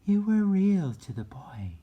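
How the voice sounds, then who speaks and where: A storybook reader voicing a kindly, gentle nursery magic fairy in a high, breathy voice with slow, drawn-out, emphatic words. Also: sing-song, lo-fi sound, exaggerated voice. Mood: tender, reassuring.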